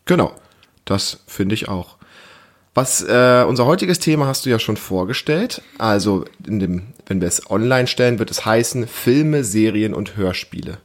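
Male podcast host, 30s-40s, slightly low voice, casual and encouraging, explains simply as if to a child. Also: lively intonation, normal speed. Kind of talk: casual family chat with a child.

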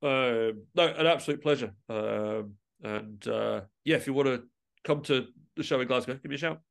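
A man's voice: medium pitch, normal speed, gravelly. A man speaking as a musician guest in a casual podcast interview, with an informal, self-deprecating and enthusiastic way of talking.

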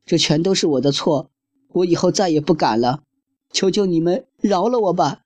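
A petulant little blonde girl from a children's storybook, pitched well above the reader's own voice, as a man puts her on. She whines and complains in a dry, close sound. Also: expressive, normal speed, darkish tone, no room sound. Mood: pleading.

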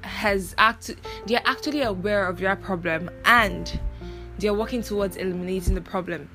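A woman's voice: mid-range voice, moderate pace, sharp tone, soft background music. A young woman from Nigeria, hosting a casual solo podcast in a reflective way, with many fillers and restarts. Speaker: podcast host.

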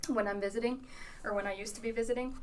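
A woman co-hosting a casual podcast chat in a small room, loud and excitable, laughing often. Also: a little high, fast talker. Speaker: podcast co-host. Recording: noisy room recording.

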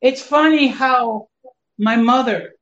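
An older man, a guest on a livestream, speaks in an earnest, measured and slightly formal way. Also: very high-pitched, a bit bright, muffled recording. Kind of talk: casual chat, earnest thanks.

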